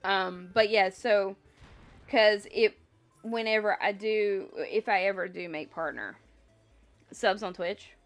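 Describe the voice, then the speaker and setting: A woman's voice: mid-range voice, slow pace. A woman hosting a casual livestream, rambling and thinking aloud as she talks to viewers, sounding slightly congested. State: slightly congested with a lingering cough. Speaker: livestream host. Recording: music behind the voice. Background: music.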